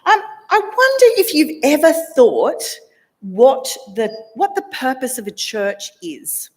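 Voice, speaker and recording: A woman leads a relaxed interview in a church. Her voice sits fairly high and swoops with lively intonation, and she chats with an easy, teasing warmth. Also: slow pace, slightly rough. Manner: chatty, lively, laughs easily. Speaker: minister leading worship.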